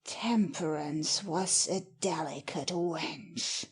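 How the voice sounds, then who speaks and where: A woman's voice: sits low, slow, breathy edge, full character voice. A woman playing a mocking, cynical nobleman who makes dry asides in a Shakespeare audiobook. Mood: sardonic. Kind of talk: dramatic Shakespeare audiobook reading.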